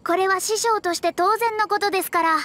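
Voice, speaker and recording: Haughty girl mage, very high bright voice, anime game, prim, self-important. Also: a little fast. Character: proud, prim young female astrologer mage, haughty teacher.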